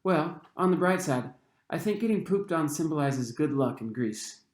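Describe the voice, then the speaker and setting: A man's voice: on the high side, expressive, normal speed, echoey room, close to an everyday voice. A man voicing a confident, sympathetic teenage schoolgirl in a raised girlish voice.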